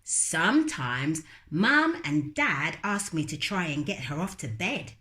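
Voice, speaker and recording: A woman reading aloud as a storybook narrator, in an animated style made for children. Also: on the low side, takes it slowly. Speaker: storybook reader.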